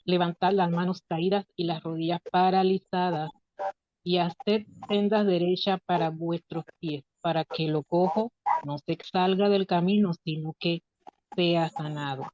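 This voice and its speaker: A woman preaching and reading scripture in a halting way, pausing between short phrases. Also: lowish voice, steady tone, a little mellow, slight background noise. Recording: dull, muffled lo-fi audio.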